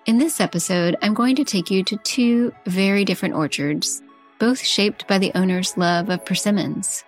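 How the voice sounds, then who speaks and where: A woman, a chef hosting a podcast, reading a poetic love letter and scripted narration in a slow, intimate way. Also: medium pitch, soft background music.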